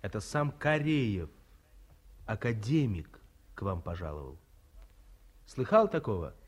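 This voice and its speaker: An actor playing a sardonic young geologist in a 1950s radio play, mocking the dreary provincial hotel. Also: male voice, fairly low pitch, sing-song, measured pace, breathy edge, heavily acted. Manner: sarcastic, dry. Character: young male geologist, sardonic, grumbling son. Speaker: geologist son.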